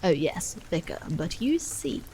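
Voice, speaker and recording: A woman's voice: on the low side, measured pace, a little hiss or hum. A woman voicing a plump, pompous man in his forties from a story, stiff, hesitant and defensive. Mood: objecting. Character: plump, pompous, defensive forty-three-year-old man. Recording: steady rain sounds behind the voice.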